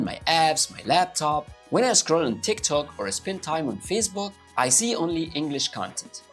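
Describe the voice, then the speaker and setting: A man from Egypt with an Egyptian Arabic accent, a native Arabic speaker, giving upbeat English-learning tips straight to his viewers. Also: on the high side. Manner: upbeat and animated, addressing viewers directly. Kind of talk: talking to camera, motivational tips.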